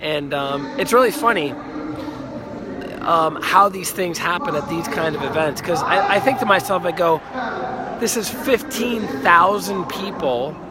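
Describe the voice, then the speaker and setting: Hoarse high-voiced man on a live stream. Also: unhurried, heavy background noise. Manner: enthusiastic, rambling, repeats words in lists. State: hoarse from a cold. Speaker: life coach and healer. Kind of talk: casual live stream monologue. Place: outdoors in a city. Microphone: phone.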